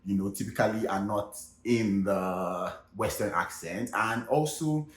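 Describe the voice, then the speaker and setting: A man's voice: on the low side, a little slow, echoey room. A young man with a Nigerian Yoruba accent hosting a casual comedic vlog, chatty and full of fillers like "you know". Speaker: vlog host.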